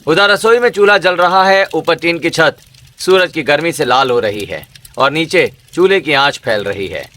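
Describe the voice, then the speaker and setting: A man's voice: a little high, animated, normal speed, bright, forceful tone. A man narrating a scripted cartoon story as a storyteller.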